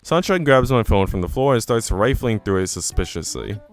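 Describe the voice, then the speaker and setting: Deep-voiced man reading a story aloud. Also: animated, normal speed, faint music behind. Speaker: reader of a visual novel.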